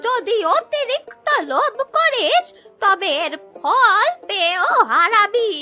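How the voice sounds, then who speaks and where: A woman's voice: very high voice, animated, slow pace, bright, forceful tone, faint music behind, full character voice. A woman voicing an old village grandmother in a cartoon story, bossy and scolding but kindly, with emphatic, admonishing advice. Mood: advising. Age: older. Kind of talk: Bengali cartoon story dubbing.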